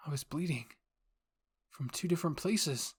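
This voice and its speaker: Narrator reading dramatic fan fiction, mid-pitched voice. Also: normal speed, husky. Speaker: narrator and voice actor of all characters.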